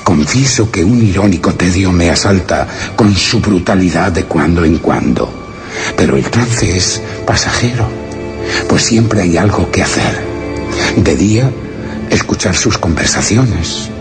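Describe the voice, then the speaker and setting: A man's voice: low voice, lively intonation. An actor playing a brooding exiled man who reads his written account in a solemn, literary Castilian Spanish radio theatre monologue. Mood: wistful, reflective. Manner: solemn, literary, measured delivery. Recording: voice under loud dramatic music.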